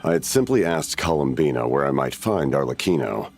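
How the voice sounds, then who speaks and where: Very deep, gravelly, courteous warrior. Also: male voice, lively intonation, a little mellow, soft background music, heavily acted. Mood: formal, apologetic. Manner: formal, measured, courteous. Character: stern, courteous, imposing male warrior commander. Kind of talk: video game voice acting.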